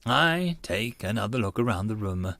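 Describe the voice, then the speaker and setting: A man's voice: on the low side, expressive, moderate pace, gravelly, fairly bright tone, no room sound, clean studio sound. A man narrating a first-person story in a dramatic audiobook style.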